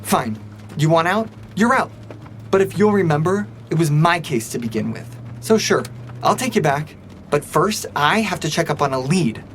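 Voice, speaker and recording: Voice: mid-range voice, animated, normal speed, a little hiss or hum, close to an everyday voice. A performer playing an eager, stubborn young rookie cop in a noir audio drama, with an insistent, indignant manner. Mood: defiant.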